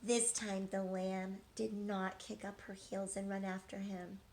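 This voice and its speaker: A woman's voice: medium pitch, flat delivery, measured pace, a little mellow, a little hiss or hum. A middle-aged woman teacher reading a picture book aloud to young children, expressively, with questions to her listeners. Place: living room couch at home.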